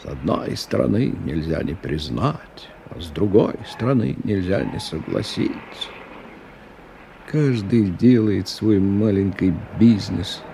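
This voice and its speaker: A man's voice: low voice, animated, a little slow, vocal fry, warm tone, heavily acted. An actor playing a weary, cynical middle-aged businessman in a radio drama, with a wry, sardonic delivery. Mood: scornful, weary. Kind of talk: radio drama acting, monologue and dialogue. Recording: noisy 1990 radio play recording.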